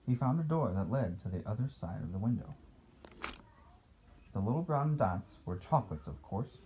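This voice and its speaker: A man's voice: fairly low pitch, very animated, normal speed, dark tone, slight background noise, low-quality recording. A man reading a picture book aloud as a father to a child, playful, with casual asides and questions.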